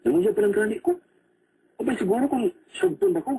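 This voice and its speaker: Animated Cebuano radio-drama ladies' man. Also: extremely high pitch, brisk, creaky, breathy edge, exaggerated voice. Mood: eager. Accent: Cebuano Filipino. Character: Rani, lively Filipino seaman, womanizer turned earnest suitor. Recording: faintly noisy radio broadcast.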